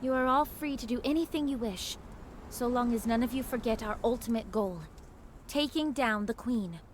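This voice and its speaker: A woman playing a composed, gentle young female squad leader in a dramatic video game, with earnest, measured, polished delivery. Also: fairly high pitch, a little hiss or hum, natural voice. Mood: calm, resolute. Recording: game dialogue over clear background music.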